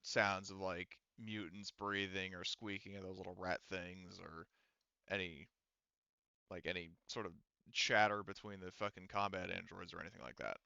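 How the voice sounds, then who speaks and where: A man's voice: deep voice, little pitch movement, moderate pace, creaky, bright, forceful tone, smooth, dry recording. A man acting as game master, describing scenes and rulings in a casual, conversational, hedging way over slightly dull audio. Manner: informal, conversational, hedging explanations. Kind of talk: casual tabletop game narration.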